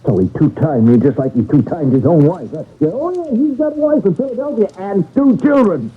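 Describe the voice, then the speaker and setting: A resentful, chattering small-time crook in old radio drama. The voice is very raspy and fairly high, jumping around in pitch. He sounds worked up and slangy. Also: lively intonation, brisk. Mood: indignant, tattling. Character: agitated, resentful male crook, slangy and talkative. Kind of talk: 1970s radio mystery acting. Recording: thin, phone-like vintage radio broadcast.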